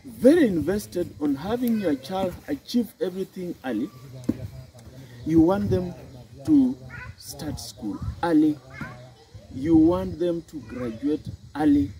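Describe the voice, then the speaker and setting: Slow, deliberate Kenyan man. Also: lively intonation, warm tone, rough recording. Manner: slow and deliberate, with a swooping emphatic pitch. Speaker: psychology and health specialist. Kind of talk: reflective talk to camera.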